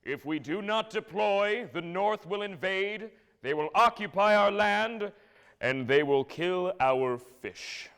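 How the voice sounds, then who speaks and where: A man's voice: sits high, animated, slow, fairly bright tone, clean tone, exaggerated voice. Guy doing a bossy army dad, blustery, voice jumps all over, stage musical, echoey hall. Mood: grave oratory. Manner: commanding, blustering. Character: domineering, warmongering military father, blustering and scornful. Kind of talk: staged musical theatre acting, oratory. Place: live staged reading before an audience.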